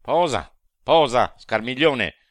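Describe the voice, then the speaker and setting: A man's voice: medium pitch, lively intonation, slow, a bit bright, dry recording, studio quality, pitched above the performer's own voice. Man playing a proud commanding devil chief. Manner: commanding, declaiming.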